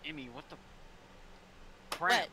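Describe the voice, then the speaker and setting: A woman's voice: low voice, animated, slow pace, heavy background noise. A woman heckling casually as a player in a tabletop roleplaying game, sarcastic and teasing.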